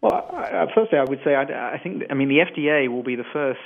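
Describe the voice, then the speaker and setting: A man's voice: mid-range voice, lively intonation, vocal fry. A man, a company chief executive, answering interview questions carefully, with frequent restarts. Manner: measured, careful, restarts often. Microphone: phone. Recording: tinny phone line.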